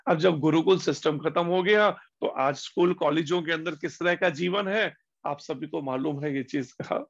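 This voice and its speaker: A man giving a devotional discourse as a spiritual teacher, slowing down on key words and asking rhetorical questions. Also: fairly high pitch, gravelly. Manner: slow, deliberate emphasis with pauses on key words. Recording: dull lo-fi recording.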